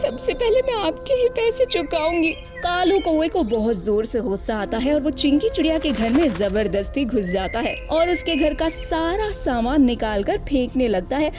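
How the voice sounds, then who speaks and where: High-pitched woman narrating a children's story. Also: animated, normal speed, few pauses. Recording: music behind the voice. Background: music.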